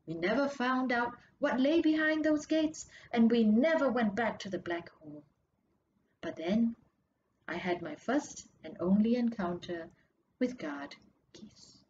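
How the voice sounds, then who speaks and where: A woman reading a story excerpt aloud with expressive, measured and dramatic narration. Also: a little high. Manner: measured, dramatic, drops to hushed tones for suspense. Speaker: author reading their own story. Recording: muffled audio with clear background noise.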